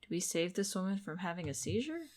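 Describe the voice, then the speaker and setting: Low-pitched woman musing through a game. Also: very animated, fast, background noise. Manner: musing aloud, questioning. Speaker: gamer doing a playthrough. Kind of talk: casual game commentary.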